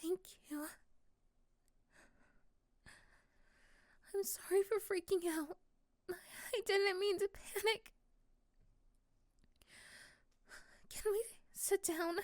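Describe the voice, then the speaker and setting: Woman acting very high-pitched sweet anxious office girl. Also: steady tone, measured pace, no room sound. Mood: softened, sheepish. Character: anxious claustrophobic young female office worker, crush, sweet. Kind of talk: ASMR roleplay audio drama acting.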